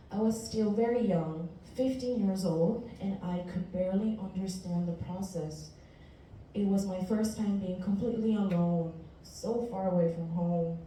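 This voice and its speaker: In a reverberant room, picked up from some distance with faint noise, a woman in her twenties gives a prepared student talk. She is from Ecuador and speaks Spanish natively. Her voice is very dark and relaxed, and her delivery is earnest and deliberate. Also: medium pitch. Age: 20s. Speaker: university student presenter. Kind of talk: prepared class presentation.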